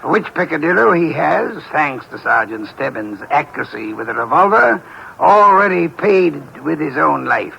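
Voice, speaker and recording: A pompous gravelly detective. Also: male voice, on the high side, big pitch swings, normal speed, bright, forceful tone, lo-fi sound, full character voice. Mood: lecturing. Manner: pompous, precise, lordly diction. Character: pompous, portly detective, older man. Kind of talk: 1950s radio drama acting. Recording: old radio broadcast with faint hiss.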